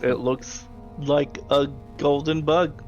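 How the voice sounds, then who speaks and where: A man with a gravelly voice, narrating a tabletop game as game master in a casual way. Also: fairly high pitch, little pitch movement, slow pace, a little mellow, highs cut off, soft background music.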